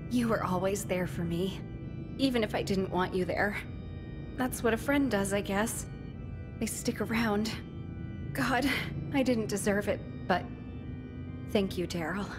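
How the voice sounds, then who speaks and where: An actress playing a remorseful teenage schoolgirl in a game cutscene, speaking earnestly and haltingly, with tenderness. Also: mid-range voice, close to an everyday voice. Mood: grateful. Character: remorseful teenage schoolgirl, softened former bully, sincere. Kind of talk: dramatic video game cutscene acting. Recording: loud music under the voice.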